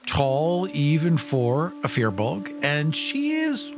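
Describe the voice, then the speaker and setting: A man's voice: on the high side, wildly swooping pitch, slow pace, music in the background. A man playing a tabletop game, casually and wryly explaining his character and moves.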